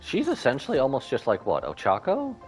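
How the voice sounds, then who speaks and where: A man's voice: medium pitch, huge pitch swings, a little fast, soft background music. A man giving casual live gameplay commentary as a streamer, thinking aloud and reacting with exclamations.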